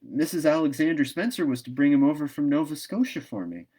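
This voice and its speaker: A man voicing a shy, bewildered countryman in a storybook, halting and plain-spoken. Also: on the high side, animated, warm tone, voice pushed up. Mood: blank, bewildered. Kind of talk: storybook read-aloud.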